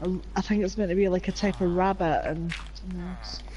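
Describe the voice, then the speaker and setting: A woman's voice: fairly low pitch, expressive, a little fast, dark tone, a little hiss or hum, poor audio. A woman co-hosting a live game stream, teasing her partner and egging on the viewers. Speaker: stream co-host. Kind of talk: casual live-stream chat.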